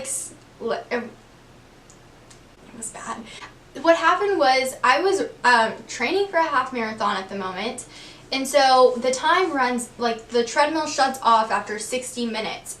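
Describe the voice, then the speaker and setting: A woman's voice: fairly high pitch, a bit bright, echoey room, recorded from a distance, a little hiss or hum, low-quality recording. A young woman in her twenties from Texas, chatting casually to the camera with lots of "like" and "um", laughing often. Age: 20s. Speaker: vlogger. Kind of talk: casual get-to-know-me chat.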